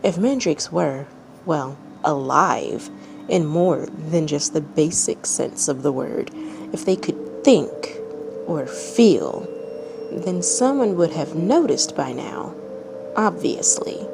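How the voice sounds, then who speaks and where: A woman narrates a story with dramatic, read-aloud phrasing. Her voice sits low, with a heavy creak of vocal fry, and she takes her time over the words. The recording carries clear background noise. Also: expressive, slow. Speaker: fan fiction reader and channel host.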